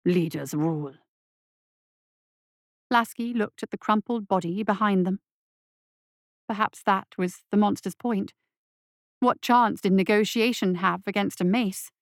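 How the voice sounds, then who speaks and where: A woman narrating a dark fantasy story in a scripted, dramatic audiobook reading style. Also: mid-range voice, steady tone, unhurried, dry recording, clean studio sound. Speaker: story reader.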